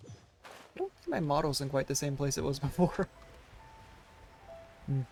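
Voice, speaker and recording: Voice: mid-range voice, very animated, normal speed, creaky, warm tone. Casual game streamer musing over music. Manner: musing, reacting aloud to the story. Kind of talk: casual playthrough commentary.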